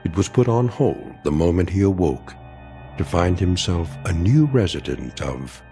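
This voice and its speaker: A man narrating a scripted radio drama in a measured, grave and ominous manner. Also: low voice, sing-song, creaky, warm tone, soft background music, heavily acted.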